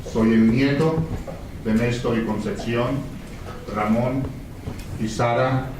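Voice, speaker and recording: Man, panel moderator, halting delivery, distant mic, very echoey noisy hall. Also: mid-range voice, steady tone. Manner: halting, repeats words while speaking. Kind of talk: bilingual event welcome.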